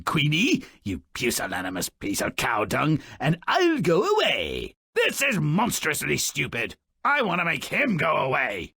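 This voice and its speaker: A malicious little dwarf-like grouch from a fairy tale video game. His voice is raspy and fairly high for a man, with a sharp, forceful edge. He mocks everyone in slow, theatrical, sneering storytelling, full of impatient scorn. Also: no room sound, clean studio sound, heavily acted. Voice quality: raspy, gravelly. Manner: theatrical, sneering, mocking, ornate vocabulary. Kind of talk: video game character narrating dark fairy tales.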